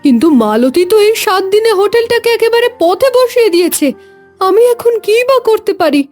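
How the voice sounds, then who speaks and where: A woman's voice: very high voice, sing-song, fairly quick, a little mellow. A woman playing a hard-working village wife who cooks and runs a food hotel, in a cartoon dub. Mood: worried. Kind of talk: Bengali cartoon dubbing. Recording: music behind the voice.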